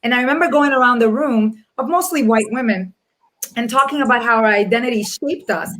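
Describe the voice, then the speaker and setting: This is a middle-aged woman from the Dominican Republic with a fairly high voice, speaking on a panel over a video call. She sounds earnest and reflective, and she often stops to restart a thought. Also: a little fast, a bit bright, poor audio. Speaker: panelist, corporate people executive. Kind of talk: panel discussion.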